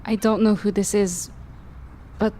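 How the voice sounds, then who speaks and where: A woman roleplaying an earnest, vulnerable amnesiac woman with a halting, pleading way of talking. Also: medium pitch, little pitch movement, warm tone, a little hiss or hum, lightly acted. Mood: pleading, emotional.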